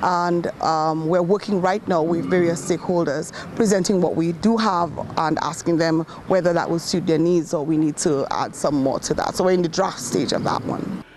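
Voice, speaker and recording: A man, giving a measured, formal interview about education programs. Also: on the high side, noisy background. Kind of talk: news interview.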